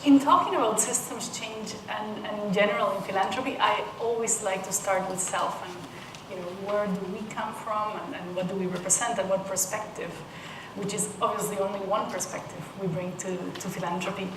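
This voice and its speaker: A woman from Peru giving a conference talk, thoughtful, with frequent restarts and soft laughs. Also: mid-range voice, fairly even intonation, brisk. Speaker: panel speaker. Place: conference room. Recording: roomy, distant mic with noise.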